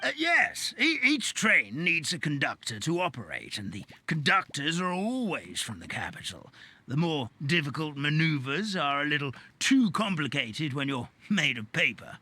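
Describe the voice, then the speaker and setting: A man's voice: on the high side, big pitch swings, moderate pace, sharp tone, husky, exaggerated voice. A man voicing a polite, flustered origami forest animal in a video game, with a fussy, stammering manner. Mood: nervous, helpful.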